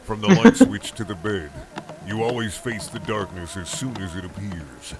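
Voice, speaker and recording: A man narrating amateur horror stories in a dramatic, deadpan, mock-serious way, like a YouTuber reading to viewers. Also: on the low side, moderate pace. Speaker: YouTuber, story reader. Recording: loud music and gunfire over voice. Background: loud music, video game gunfire.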